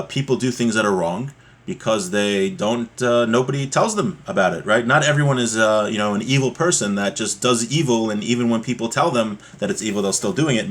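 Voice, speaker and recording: A man's voice: medium pitch, fairly bright tone, husky. A man giving a religious text lesson, reading aloud and explaining step by step with frequent rhetorical check-in questions. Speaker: religious teacher.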